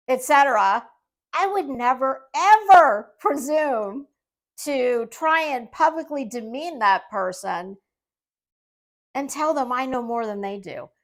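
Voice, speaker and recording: High-voiced woman, slow sarcastic commentary. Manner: sarcastic, condescending. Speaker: YouTube commentator. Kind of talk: casual off-the-cuff commentary monologue.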